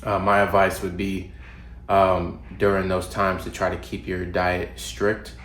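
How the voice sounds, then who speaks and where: A low-voiced young man with a drawl talks straight to camera. His nose sounds clogged from a recent cold, and he keeps it loose, with lots of ums and false starts. The room is echoey and has a faint hum behind him. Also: a little slow. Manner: relaxed, loose with fillers and restarts. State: congested from a cold. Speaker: fitness vlogger. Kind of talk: casual talking-to-camera advice. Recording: roomy home recording, faint ambience.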